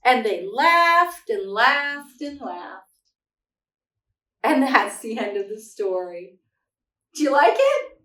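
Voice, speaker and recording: High-voiced, slow-paced woman reading to children. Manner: expressive, simple explanatory phrasing for children. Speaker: children's read-aloud host. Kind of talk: picture book read-aloud and gentle talk to young children. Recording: echoey room, distant microphone.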